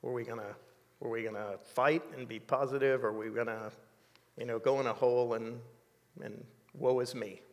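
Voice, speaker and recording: A man in his sixties telling a personal story in an interview, measured and reflective, with many fillers, on a dark studio set. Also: medium pitch, fairly even intonation, in a large hall. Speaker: interview guest.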